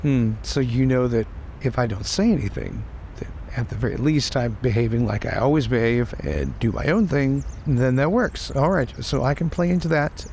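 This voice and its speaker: A man's voice: medium pitch, animated, moderate pace, darkish tone, a little hiss or hum, close to an everyday voice. A man playing a deferential adventurer lord in improvised tabletop roleplay, polite and hesitant as he talks. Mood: thoughtful. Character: deferential, courteous adventurer lord serving his queen. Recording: faint music behind.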